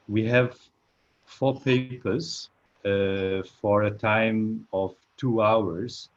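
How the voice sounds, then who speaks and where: A man chairing an online academic panel, speaking hesitantly with many fillers and restarts over a video call. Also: lowish voice. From Portugal. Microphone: computer mic on a video call. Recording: dull lo-fi video call audio.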